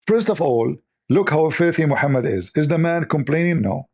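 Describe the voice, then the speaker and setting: Male host, slightly high voice, hoarse from overuse, scornful lecturing tone, non-native English. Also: lively intonation, normal speed, a little mellow. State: losing his voice. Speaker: religious debate host. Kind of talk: polemical commentary reading texts.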